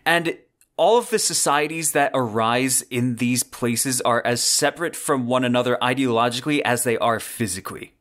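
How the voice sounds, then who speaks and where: A man hosting a podcast, giving a scripted explanation with earnest, deliberate phrasing and occasional conversational asides. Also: medium pitch, a bit bright. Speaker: podcast host.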